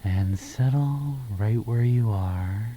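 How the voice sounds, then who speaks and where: A man with a very dark, mellow voice leads a guided ASMR meditation. He speaks very slowly and softly, often slipping toward a whisper, in a gentle, soothing manner. His pitch sits low, and short phrases are set apart by pauses. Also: muffled recording, a little hiss or hum. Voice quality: soft, breathy, half-whispered. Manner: soothing, slow, gentle, pausing between phrases. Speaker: ASMR host guiding meditation.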